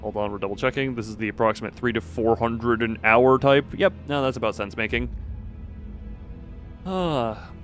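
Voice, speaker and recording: A man's voice: medium pitch, moderate pace, fairly bright tone, faint music behind. A man hosting a game livestream, chatting casually, reading dialogue aloud and often breaking off to muse. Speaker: livestream host playing a game.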